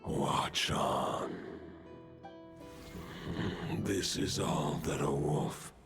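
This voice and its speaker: A man voicing an ancient, noble, weary wolf god in a game, speaking gravely and solemnly in a gravelly voice. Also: very slow, heavily acted. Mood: weary, resigned. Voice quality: gravelly, very deep, breathy at times. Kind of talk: dramatic game voice acting. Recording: music behind the voice.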